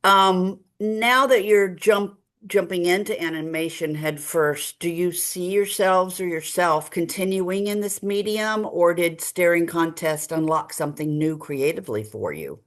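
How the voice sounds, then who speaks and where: A woman's voice: on the low side, a little slow, few pauses. A woman acting as interviewer, putting questions to her guests in conversation.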